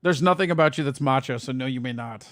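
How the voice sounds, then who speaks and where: A man's voice: mid-range voice, quick pace, no room sound, studio quality. A man hosting a casual comedy podcast, bantering with a sardonic, crudely teasing manner. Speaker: podcast host taking a call.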